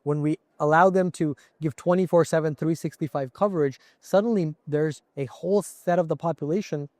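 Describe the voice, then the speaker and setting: A man's voice: on the high side, fairly quick, darkish tone, no room sound. A man from India, a company CEO, answering interview questions in an earnest, explanatory way with examples. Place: conference floor.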